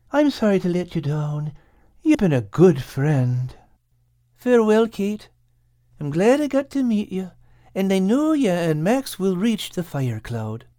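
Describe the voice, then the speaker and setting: A woman's voice: mid-range voice, dark tone, no echo, clean studio sound, pitched above the performer's own voice. A woman voicing a timid, stubborn male cat with folksy, plain-spoken wording in a storybook.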